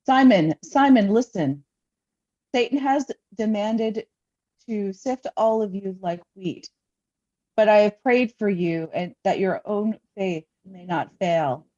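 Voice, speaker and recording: A woman reads scripture as a pastor would, in a solemn liturgical style with measured, deliberate phrasing. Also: mid-range voice, mellow, dull audio.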